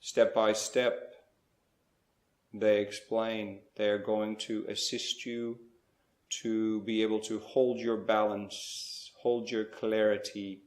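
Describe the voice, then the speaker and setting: A man leading a slow guided spiritual meditation, speaking in measured short phrases with pauses between them. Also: fairly low pitch, flat delivery, a little mellow, roomy sound.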